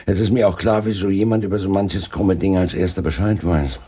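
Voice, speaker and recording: An actor playing a wry, unflappable Scotland Yard chief inspector with dry irony in a German crime radio play. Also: male voice, low voice, steady tone, fairly quick, warm tone, slightly rough. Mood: businesslike.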